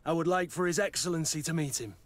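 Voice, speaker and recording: An actor playing a gruff, stubborn army captain in a video game, blunt and plain-spoken. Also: male voice, a little high, quick pace, no room sound, close to an everyday voice. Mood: businesslike. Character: gruff, stubborn male captain, loyal to his recruits. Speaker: military captain. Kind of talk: video game dialogue acting.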